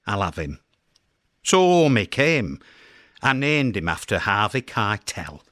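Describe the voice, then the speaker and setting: Actor as animated, wry British cat owner. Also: male voice, lowish voice, slow, no room sound, studio quality, heavily acted. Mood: wry, fond. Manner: wry, conversational storytelling with emphatic swoops. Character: chatty, aggrieved British male cat owner, fondly reminiscing. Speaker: actor performing a comedy monologue. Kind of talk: conversational comic radio monologue.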